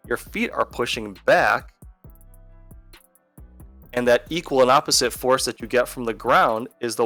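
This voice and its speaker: A man's voice: medium pitch, a bit bright, no echo. A man explains as a teacher in a video lesson, conversational and going step by step. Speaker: physics teacher.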